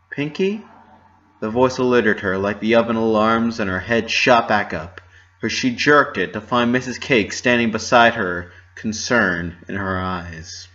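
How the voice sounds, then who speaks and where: A man's voice: lowish voice, fairly bright tone, muffled recording. A man narrating a story as a scripted dramatic reading.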